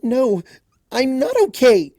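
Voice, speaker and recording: Shrill, upset young pony acted by one reader. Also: female voice, lively intonation, slow pace, no echo, clean studio sound, full character voice. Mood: indignant yelling. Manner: shrill, pleading, emphatic shouting. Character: shy young female pegasus pony, upset and fiercely protective.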